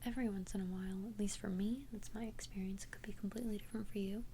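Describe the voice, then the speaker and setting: A woman whispering in a breathy, gentle, hesitant way, confiding as a friendly vampire best friend in an ASMR roleplay. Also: medium pitch, steady tone, very fast, slight background noise. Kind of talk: whispered ASMR roleplay, one-sided conversation. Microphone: close ASMR microphone.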